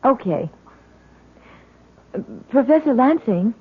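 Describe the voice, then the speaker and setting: An actress playing a sensible, polite young college student in a radio drama. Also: female voice, high voice, lively intonation, slow, phone-quality sound. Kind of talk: 1970s radio drama acting. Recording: thin, noisy old radio broadcast.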